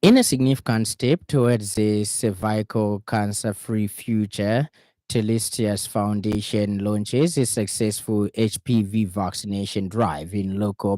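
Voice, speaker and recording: A man with a Zambian accent reading a radio news bulletin in a formal, measured way from a studio. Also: fairly low pitch, dark tone, dry recording.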